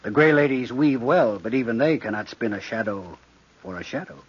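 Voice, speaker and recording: A living shadow passing as a prince in a vintage radio horror play. His husky voice is suave and courtly with a cunning, sinister edge. The recording is old and dull. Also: medium pitch, animated, a little fast. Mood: silky menace. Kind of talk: old-time radio drama acting.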